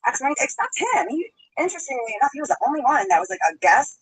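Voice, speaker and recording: A woman's voice: sits high, sing-song, rapid-fire, poor audio. A woman chatting on a live stream, rambling, greeting viewers and laughing often, in playback with altered speed. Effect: sped-altered playback. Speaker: livestreamer. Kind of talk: casual live-stream chat.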